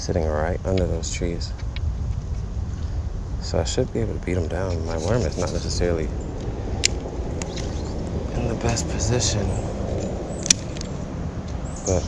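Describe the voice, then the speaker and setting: A man's voice: low voice, unhurried, gravelly, long pauses, heavy background noise. A man chatting casually to himself as a fishing vlogger, informal and repeating his phrases, recorded outdoors on a body-worn action camera. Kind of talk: casual solo vlog chat while fishing. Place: outdoors in a backyard beside a small pond.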